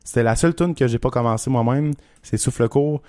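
A young man with a Québécois French accent, a country singer telling about his songs in a relaxed, halting radio chat with many restarts. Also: mid-range voice, dry recording, clean studio sound. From Quebec. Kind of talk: casual radio interview.

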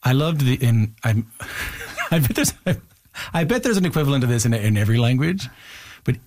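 A male radio interviewer chats casually in a studio, eagerly restarting his questions. His medium-pitched voice moves up and down a lot, giving him an animated delivery. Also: normal speed.

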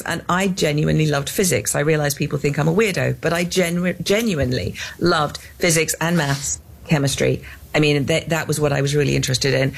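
An English woman in her fifties, a scientist guest in an interview, talking earnestly with many restarts and fillers. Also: deep voice. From England. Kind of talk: interview conversation.

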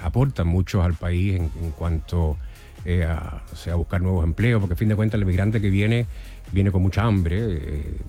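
A man's voice: deep voice, moderate pace, dark tone, husky, soft background music. A man in his fifties from Cuba with a Cuban accent, a guest architect in a radio interview who talks with many fillers and restarts. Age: 50s.

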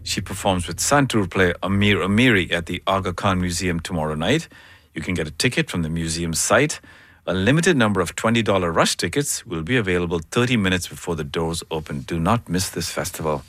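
Low, lively radio host, dry sound. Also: male voice, brisk, dry recording. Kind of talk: scripted radio presenting.

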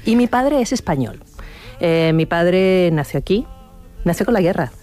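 A woman's voice: fairly low pitch, animated, normal speed, mellow, faint music behind. A middle-aged woman from Chile, a guest on a radio interview, casually telling a personal story.

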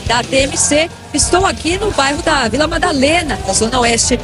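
A woman's voice: sits high, lively intonation, moderate pace. Female street reporter, formal live delivery, music playing behind. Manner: clear, formal news delivery. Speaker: field reporter. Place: outdoors in a city neighborhood.

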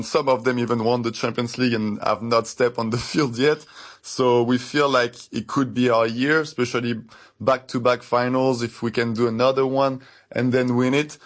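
Earnest man, halting speech. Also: mid-range voice. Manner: earnest, slightly halting, searches for words. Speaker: interview guest, football fan. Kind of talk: casual interview chat.

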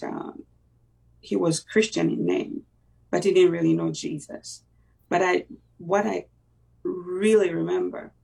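Gravelly voice, halting. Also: medium pitch, darkish tone, distant mic, low-quality recording. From Uganda. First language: Luganda. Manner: reflective, halting, with many fillers and restarts. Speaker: interview guest. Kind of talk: personal faith testimony in conversation.

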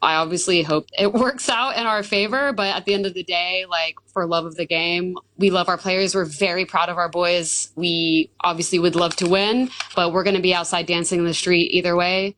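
A woman with a hoarse voice, talking casually as a football fan and guest in a live interview. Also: fairly low pitch, steady tone, moderate pace, few pauses, a bit bright, rough recording. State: hoarse from screaming.

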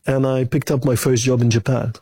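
A middle-aged man from Lebanon, a bank chief executive answering interview questions in a measured, thoughtful way with some fillers. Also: mid-range voice, level tone, dark tone, breathy edge, dry recording, studio quality.

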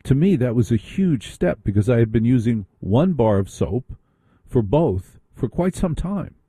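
Brief: male radio host, warm and slightly husky, chatty, close dry studio mic. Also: mid-range voice, very animated, unhurried, no echo. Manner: chatty, anecdotal, interrupts callers. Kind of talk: late-night radio talk.

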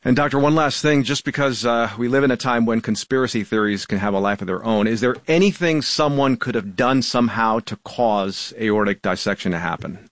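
A man's voice: medium pitch, fairly quick, hardly any pauses, dry recording. A man hosting a radio news interview, putting questions to a guest.

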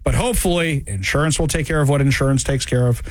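A man hosting a talk radio show, chatting in an animated, wry way. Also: fast, no echo. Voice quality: high-pitched laugh. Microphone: studio microphone.

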